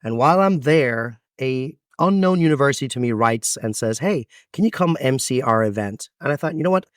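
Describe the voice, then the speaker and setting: A man in his thirties with an American accent, a guest telling his life story with expansive, dramatic pauses. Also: mid-range voice, expressive, no echo, clean studio sound. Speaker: podcast guest, event MC. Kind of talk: storytelling interview answers.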